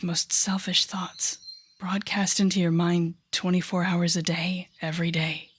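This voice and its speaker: A woman playing an intense, coaxing female telepathic villain with a secretly tender side in an intimate audio roleplay, speaking softly and often whispering with deliberate dramatic pauses. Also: lowish voice, slightly rough. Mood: sympathetic, intense. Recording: background music under the voice.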